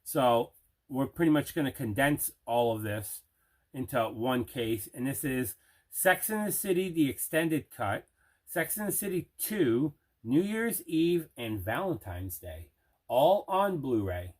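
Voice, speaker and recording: A man's voice: mid-range voice, expressive, measured pace. Man chatting casually through an unboxing. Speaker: host of an unboxing video show.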